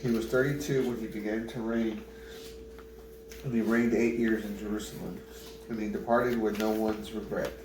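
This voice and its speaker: Guy reading the bible out loud, pretty monotone, stumbles on names, echoey room with noise behind. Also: lowish voice. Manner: plain, halting over names, self-correcting. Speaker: Bible reader. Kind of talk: reading scripture aloud at home, unpolished. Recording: roomy, distant mic, clear background noise.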